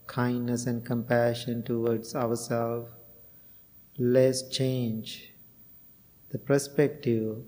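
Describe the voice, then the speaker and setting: A middle-aged man from Sri Lanka, a Buddhist monk guiding a loving-kindness meditation and dharma talk, speaking gently with many pauses and fillers. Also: mid-range voice, big-room sound.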